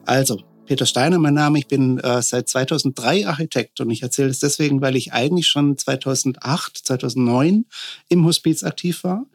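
A man, an architect, explaining at length and thoughtfully in a podcast interview, often pausing on fillers. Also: mid-range voice.

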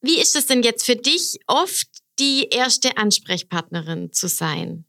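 A woman's voice: on the high side, big pitch swings, unhurried, gravelly, pushed and bright, no room sound, clean studio sound. A woman hosting an interview podcast, giving introductions and asking questions. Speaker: podcast host.

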